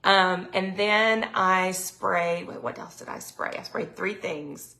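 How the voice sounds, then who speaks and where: Middle-aged lady on a live stream, bit raspy today, lots of ums, gravelly low voice. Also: takes it slowly, bright, forceful tone. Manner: chatty, lots of ums, reads viewer comments aloud. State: slightly raspy throat from allergies. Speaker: product consultant hosting a live sales stream. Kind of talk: casual live-stream chat.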